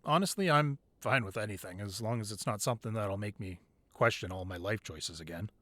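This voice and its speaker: A man's voice: on the low side, lively intonation, a little fast, fairly bright tone, dry recording. A man playing an affectionate boyfriend who secretly runs a crime ring, in an intimate, conversational ASMR roleplay. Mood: playful, amused. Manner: conversational, playful with partner, curt and commanding in business.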